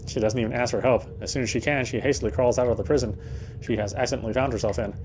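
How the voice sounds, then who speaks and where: A man narrates a horror visual novel as he plays, reading dramatically at a quick clip. His voice is medium in pitch, with faint noise and game ambience behind it. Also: fairly even intonation, a little mellow, poor audio. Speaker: let's player reading game text aloud.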